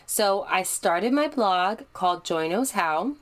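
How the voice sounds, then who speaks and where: A woman's voice: mid-range voice, expressive, takes it slowly. A young adult woman hosting her own podcast, talking in an upbeat, conversational and encouraging way. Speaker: podcast host. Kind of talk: solo podcast monologue.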